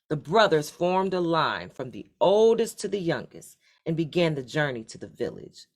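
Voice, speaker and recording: Animated lower-voiced woman reading a storybook. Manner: animated, deliberate read-aloud delivery. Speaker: storyteller reading a children's book aloud. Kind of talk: expressive scripted storybook narration.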